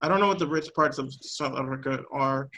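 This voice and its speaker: A man with an average-pitched voice chats informally. He talks in a loose, meandering way, stringing thoughts together with lots of "like". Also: fairly quick, bright, forceful tone, rough recording. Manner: loose, rambling, heavy use of "like". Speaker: cast member of a radio play podcast. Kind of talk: casual chat.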